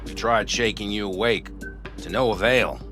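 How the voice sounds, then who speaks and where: A man's voice: lowish voice, moderate pace, natural voice. A man playing an easygoing, loyal nomad veteran in a video game, with a folksy, plain-spoken manner. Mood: concerned. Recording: music behind the voice.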